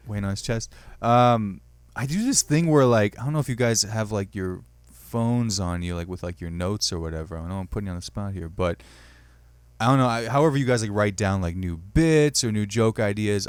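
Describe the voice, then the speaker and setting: A man's voice: fairly low pitch, animated, brisk, clean tone, no echo. A man hosting a comedy podcast, chatting loosely with guests, swearing and laughing. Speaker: podcast host. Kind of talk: casual comedy podcast chat.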